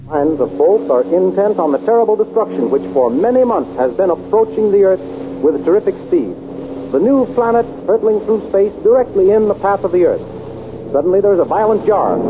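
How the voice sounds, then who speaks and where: A man announcing a 1930s radio adventure serial in a crisp, dramatic manner. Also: sits high, background noise. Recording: tinny 1930s radio recording with music.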